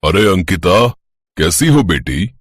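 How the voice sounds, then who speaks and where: A man voicing a gentle, tired older father who talks kindly to his daughter's friend in a cartoon story. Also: low voice, sing-song, slow pace, no echo, clean studio sound, exaggerated voice.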